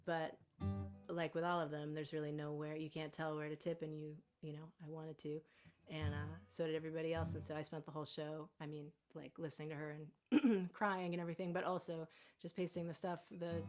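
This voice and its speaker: A woman with a low, slightly scratchy voice chats loosely between songs, circling back and correcting herself as she goes. Guitar music rings clearly behind her. She speaks from her home on a live stream. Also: a little mellow. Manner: rambling, self-correcting, chatty. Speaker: singer-songwriter hosting a home live stream. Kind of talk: casual chat between songs.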